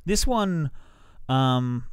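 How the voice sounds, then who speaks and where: A man co-hosting a podcast, casually giving his film opinions in an offhand, measured way. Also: mid-range voice, expressive, clean tone, no echo, clean studio sound. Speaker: film reviewer and podcast co-host.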